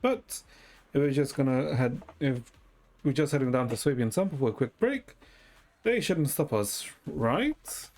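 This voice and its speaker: A man's voice: mid-range voice, expressive, a little slow, darkish tone. A man giving casual gameplay commentary and reading story dialogue aloud, with musing, muttered asides. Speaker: game streamer. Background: video game music.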